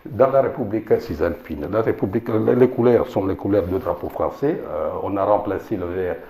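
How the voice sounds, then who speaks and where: A man's voice: low voice, a little fast, warm tone, roomy sound. A man with an Italian accent giving a history talk in French, a second language, with many restarts, repeated words and fillers. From Italy. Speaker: lecturer. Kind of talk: informal history lecture.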